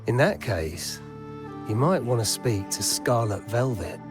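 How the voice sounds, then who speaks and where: A man's voice: medium pitch, lively intonation, measured pace, natural voice. Weary, bitter man voiced by an actor, music behind. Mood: guarded. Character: weary, bitter man grieving his lost friend and past. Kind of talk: scripted video game voice acting.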